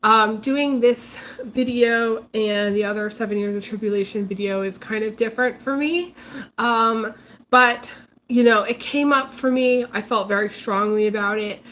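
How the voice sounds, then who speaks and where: A woman's voice: a little high, moderate pace, a bit bright, slight background noise. A congested woman hosting a casual live stream as a psychic reader, talking hesitantly with many ums and restarts. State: congested, recovering from illness. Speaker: psychic reader, channel host.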